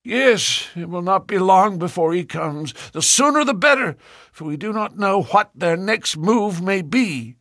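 A man voices a gaunt old farmer, pitched far above a normal speaking voice and loud with fury. He snaps out sardonic, defiant lines in a dry, close sound. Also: lively intonation, unhurried, dry recording, exaggerated voice. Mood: agitated. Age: older. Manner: shouting, sardonic.

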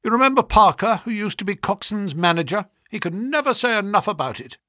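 Man voicing a brisk, high-pitched, pushy financial agent. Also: animated, no echo, clean studio sound. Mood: brisk, probing. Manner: sharp, brisk, exclamatory. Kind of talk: audiobook character dialogue.

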